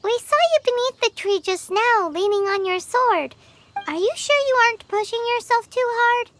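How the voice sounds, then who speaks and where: A woman voicing a small, childlike floating fairy companion in a video game, squeaky, earnest and caring. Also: lively intonation, normal speed, a bit bright, slight background noise. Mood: curious, concerned. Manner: earnest, speaks of self in third person. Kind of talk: video game character acting.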